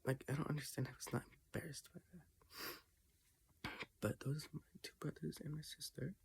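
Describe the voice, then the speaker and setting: A teenager talking casually in a personal vlog from their bedroom, halting with restarts and often dropping to a half-whisper. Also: mid-range voice, steady tone, gravelly, husky. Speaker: vlogger. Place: own bedroom, indoors.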